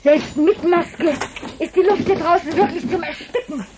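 A woman's voice: extremely high pitch, moderate pace, noisy background. A woman acting an insistent, uneasy rebel from a space colony in a German radio play. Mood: breathless, eager.

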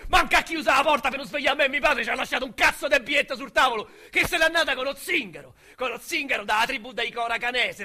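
A young Roman street kid, high-pitched voice, very bright and cutting, foul-mouthed, bursts into shouts, radio drama. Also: male voice, heavily acted. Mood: angry outburst. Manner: crude slangy storytelling with sudden shouted outbursts. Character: coarse young man from the outskirts, bitter and foul-mouthed. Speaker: narrator of a radio monologue.

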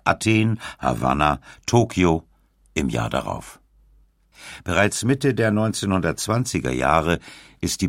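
A man with an extremely low voice narrates a scripted documentary. There is a gravelly creak in it, and the sound is dry and close, with faint music underneath. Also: a bit bright, no room sound.

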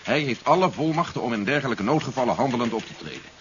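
An actor playing a brisk, authoritative general in a 1970s Dutch radio play, speaking in a formal, businesslike way. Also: male voice, medium pitch, very animated, a bit bright. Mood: firm, businesslike. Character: brisk, authoritative male general planning a secret defence operation. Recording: dull, hissy 1970s radio recording.

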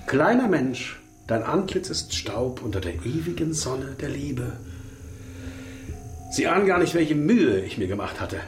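An actor playing a gaunt, fanatical East German officer in a radio crime drama, mockingly courteous and given to grandiose lecturing. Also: male voice, mid-range voice.

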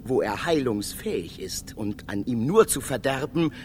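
An actor playing a mysterious, learned philosopher who lectures in a declamatory way in a radio drama. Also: male voice, medium pitch, lively intonation, a little slow, a little mellow, a little hiss or hum, close to an everyday voice. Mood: solemn, oracular. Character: mysterious philosopher double, male, learned and oracular.

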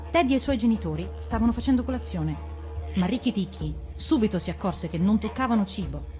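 A woman's voice: mid-range voice, a little mellow. A woman narrating a storybook tale in a dramatized reading style. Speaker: actress reading a fairy tale.

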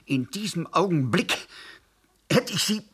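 An actor playing a troubled middle-aged husband in a radio play, speaking haltingly with fillers. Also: male voice, high-pitched, lively intonation, a bit bright, husky, full character voice.

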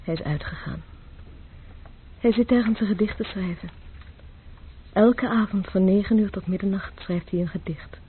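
Wistful woman, very husky, quiet, unhurried, evocative, old radio play, narrow tinny recording. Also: mid-range voice.

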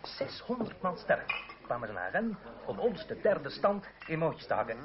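An eager political rebel in a historical radio play, proud and emphatic. His pitch swings widely as he declaims. The sound is dull, with a busy crowd behind. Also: big pitch swings, moderate pace. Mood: gleeful, scornful. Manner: emphatic, rhetorical storytelling. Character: fervent male revolutionary delegate, proud and eager. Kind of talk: theatrical radio drama acting.

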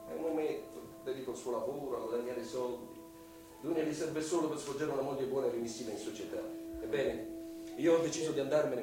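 An actor playing a scheming, lecherous middle-aged landowner in a radio drama, speaking in a theatrical, insinuating way with pauses and hushed words. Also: male voice, mid-range voice, close to an everyday voice. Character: scheming, lecherous widowed male landowner, insinuating and self-justifying. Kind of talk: Italian radio drama acting. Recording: very echoey, distant voice over music.

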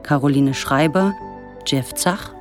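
A woman's voice: low-pitched, slow pace. A woman reading formal, scripted credits as a radio drama announcer. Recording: music behind.

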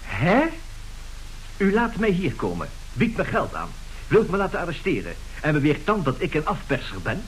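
Suave, ironic swindler, lively intonation. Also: male voice, on the high side, moderate pace, rough recording. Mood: mock innocent. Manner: polite, ironic, composed. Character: suave, unflappable male swindler, coolly mocking. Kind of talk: radio play acting. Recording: hissy old radio play recording.

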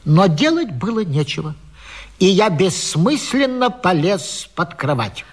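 A man's voice: high-pitched, sing-song, measured pace, breathy edge, heavily acted. An actor playing a fussy, agitated respectable married man in a theatrical radio comedy. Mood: anxious storytelling. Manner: pompous, agitated, indignant. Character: fussy, flustered respectable married man caught under a bed. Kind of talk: theatrical radio vaudeville acting.

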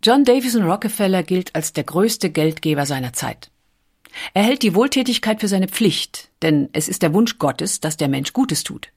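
A woman with a slightly low voice narrates a documentary. She reads from a script in a measured way, putting clear emphasis on key words as she tells the story. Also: animated.

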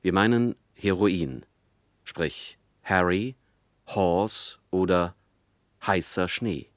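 A man's voice: deep voice, drawn-out words. A man announcing a radio crime play in a formal, solemn vintage broadcast style.

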